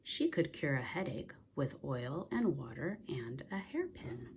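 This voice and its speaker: A woman reading a children's story aloud in an expressive, deliberate way, softening at the ends of phrases. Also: extremely low pitch, a little hiss or hum. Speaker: story reader.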